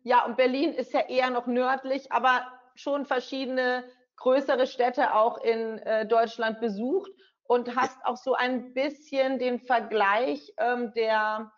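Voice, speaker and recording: A woman's voice: fairly high pitch, little pitch movement, slow. A middle-aged woman speaking conversationally as an interviewer and language teacher. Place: indoor room. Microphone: computer mic on video call. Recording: dull, lo-fi video call.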